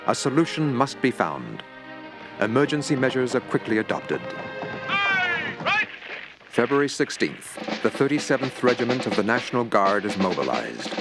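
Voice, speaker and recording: A man's voice: medium pitch, sing-song, moderate pace, pauses often, loud music behind the voice. Man doing newsreel style narration, dramatic, voice goes up n down a lot. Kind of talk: documentary-style scripted narration.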